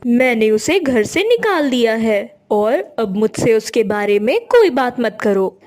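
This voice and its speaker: A woman's voice: fairly high pitch, big pitch swings, a little slow, darkish tone. A woman voicing a stern, protective young husband in a cartoon moral story, firm and scolding. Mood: firm, annoyed.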